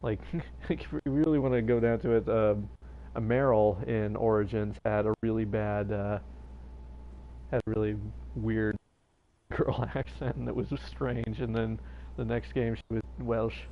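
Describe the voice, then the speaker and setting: A man with a lowish voice chats casually on a livestream, drifting between thoughts with plenty of ums and restarts. He talks straight into his own plugged-in microphone at a home setup, with a faint hiss underneath. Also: level tone, unhurried, dull audio. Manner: rambling and hesitant, many fillers and restarts. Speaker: game streamer. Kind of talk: casual live-stream chat. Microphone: own plugged-in streaming microphone.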